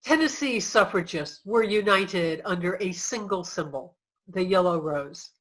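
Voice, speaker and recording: Bright, forceful woman narrator. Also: lowish voice, unhurried. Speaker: reader's theater performer. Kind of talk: theatrical scripted narration.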